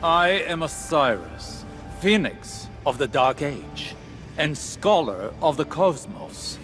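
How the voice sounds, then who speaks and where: Proud scholar-warlock, slow delivery, animated pitch, grandiose, haughty, video game cutscene. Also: male voice, soft background music, full character voice. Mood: boastful. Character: proud, easily affronted legendary scholar-warlock.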